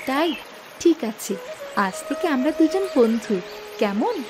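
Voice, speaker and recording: Lady doing a kind stubborn village girl for a cartoon, high voice, earnest, loud music under it. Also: very animated, takes it slowly, heavily acted. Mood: curious, friendly. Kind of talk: Bengali cartoon fable voice acting.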